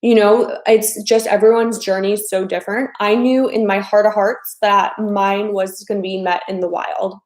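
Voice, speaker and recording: A woman in her thirties from the American Midwest talks casually to a live-stream audience as a dating coach. Her talk is chatty and loose, full of fillers and repeated phrases, at a middle pitch. She sounds like she is in a room with a noticeable echo. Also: steady tone, moderate pace, few pauses, darkish tone. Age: 30s.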